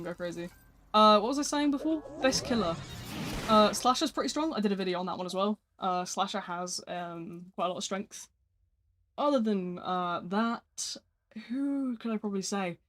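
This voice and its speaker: Flat-voiced chatty gaming streamer. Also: medium pitch. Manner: flat, unsung speaking voice; chatty, answers viewer messages. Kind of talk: casual live-stream chat while gaming.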